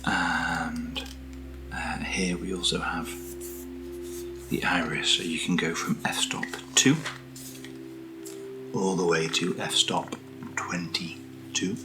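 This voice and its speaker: A British man speaking softly in a breathy near-whisper, giving an informal, slightly hesitant ASMR product walkthrough as a keen enthusiast. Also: sits low, level tone, slow, long pauses, over music, rough recording. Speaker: ASMR host. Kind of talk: soft-spoken ASMR product unboxing.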